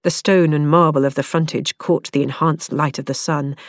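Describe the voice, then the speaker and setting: A woman reading an audiobook passage in measured, descriptive narration. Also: low voice, fairly even intonation, no room sound, studio quality. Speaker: audiobook reader. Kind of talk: scripted narration.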